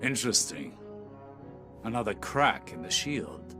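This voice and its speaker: A man's voice: fairly low pitch, very animated, a little slow, music in the background. A man playing a cool, calculating mentor and spymaster in a game, with clipped, authoritative speech. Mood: intrigued, measured. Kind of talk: game cutscene dialogue.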